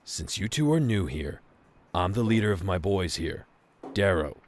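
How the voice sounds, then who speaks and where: An actor playing a smug, bossy gang leader in an audio drama, domineering and condescending. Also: male voice, sits low, animated, moderate pace, a little hiss or hum. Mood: smug, commanding. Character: gang leader grunt, menacing, smug, bossy adult man.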